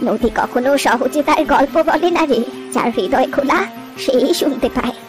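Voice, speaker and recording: A woman playing a wistful, gentle old village grandmother who tells river lore with poetic, reflective pauses in a cartoon story. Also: high voice, music in the background, close to an everyday voice. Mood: tender, reflective. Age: older.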